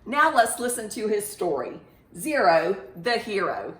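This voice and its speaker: A woman leads a children's lesson and storytime in an echoey room. Her delivery is slow and animated, with plenty of pitch movement. She keeps her phrasing simple and encouraging for young listeners. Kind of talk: children's math lesson and read-aloud storytime.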